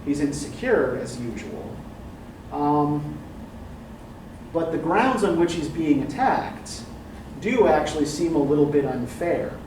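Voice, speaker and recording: A man's voice: fairly high pitch, lively intonation, slow pace, a little mellow. A male literature professor leading a class discussion, talking thoughtfully and checking in with "right?" as he goes. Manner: thoughtful, often adds "right?" and "um". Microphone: distant room recording. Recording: echoey classroom, distant mic, background noise.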